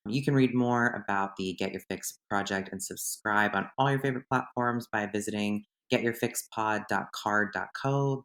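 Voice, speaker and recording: A slightly hoarse young man hosting his podcast in a chatty, rambling solo monologue. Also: lowish voice, a bit bright. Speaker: podcast host. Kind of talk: casual solo podcast chat.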